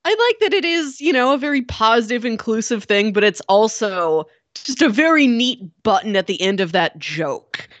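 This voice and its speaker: A female podcast co-host with a fairly high, very animated voice. She speaks in a casual, conversational review style, recorded close and dry. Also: normal speed, few pauses, no echo. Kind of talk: casual film review chat.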